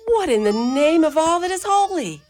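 Woman doing a bossy mother superior, lively lilting voice. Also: very high-pitched, normal speed, slight background noise, voice pushed up. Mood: astonished, tender. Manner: commanding, then cooing. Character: brisk, stern but tender Irish-style Mother Superior.

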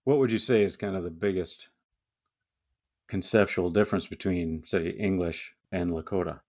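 Male podcast host, very low voice, conversational interviewer. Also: normal speed, darkish tone. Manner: conversational, asks questions.

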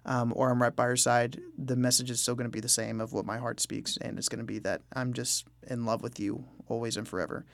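A man's voice: medium pitch, little pitch movement, a little fast, vocal fry, few pauses, fairly bright tone. A man speaking as a musician interview guest, reflective and earnest in a conversational style.

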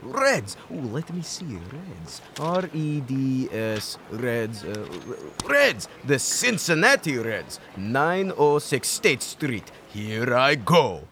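A man's voice: mid-range voice, sing-song, slow pace, hardly any pauses, fairly bright tone, a little hiss or hum, heavily acted. A young man acting an earnest, naive and anxious Soviet comrade, formal and literal-minded, in a radio comedy. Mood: puzzled, excited. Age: young adult.

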